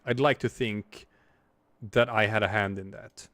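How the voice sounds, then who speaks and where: A man's voice: fairly low pitch, steady tone, measured pace, fairly bright tone, no room sound. A man in his forties, a streamer and comic artist, talking in a rambling, reflective way while he draws, with many restarts. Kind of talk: casual livestream chat while drawing.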